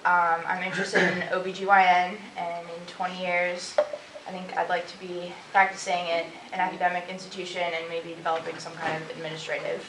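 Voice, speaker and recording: Even-toned woman from Kentucky. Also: lowish voice, brisk. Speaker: medical student. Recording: echoey room, clear noise.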